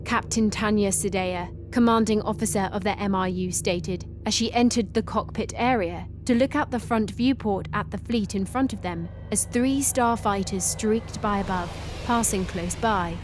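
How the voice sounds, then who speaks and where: A woman's voice: mid-range voice, normal speed, few pauses, background noise. A woman reading scripted story narration as the narrator.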